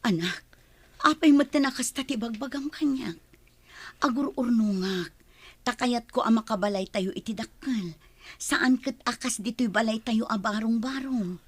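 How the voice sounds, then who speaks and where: A woman's voice: fairly high pitch, moderate pace, breathy edge, dry recording. A woman playing an emotional, pleading mother full of remorse in a radio drama. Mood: hurt, pleading. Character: remorseful mother returned from working abroad.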